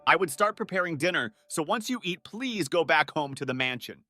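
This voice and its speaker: An actor playing an earnest, easily flustered young student butler in an anime-style romantic comedy dub, polite and devoted. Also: male voice, on the high side, expressive, fairly quick, bright, forceful tone, faint music behind.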